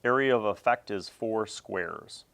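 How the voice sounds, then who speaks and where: Low-voiced man giving a casual review. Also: a little slow, gravelly, fairly bright tone. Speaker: host of a vintage tabletop gaming review series. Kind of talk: casual unscripted review talk to viewers.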